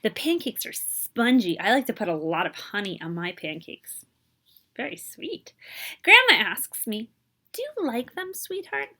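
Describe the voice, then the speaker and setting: A woman reading a children's picture book aloud in an expressive way, pausing to explain and translate Spanish words. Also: mid-range voice.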